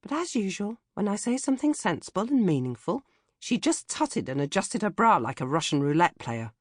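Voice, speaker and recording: A British woman reading comic first-person audiobook narration in a droll, expressive manner. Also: mid-range voice, fairly quick, no room sound, studio quality. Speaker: author reading own audiobook.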